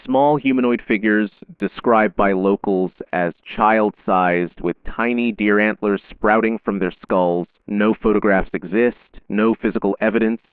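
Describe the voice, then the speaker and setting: A man narrating a scripted horror safety bulletin in a measured, solemn, formal announcer manner. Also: lowish voice, smooth, no echo, narrow, tinny audio.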